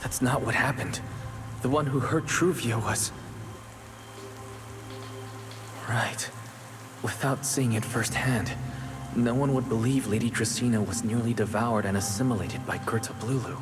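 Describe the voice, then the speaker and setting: A man's voice: mid-range voice, normal speed, close to an everyday voice. Man as earnest, loyal young game hero. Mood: shocked disbelief. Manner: earnest, emotional, determined. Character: loyal, stubborn young male partner in crime. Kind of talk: dramatic video game voice acting. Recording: loud music behind the voice.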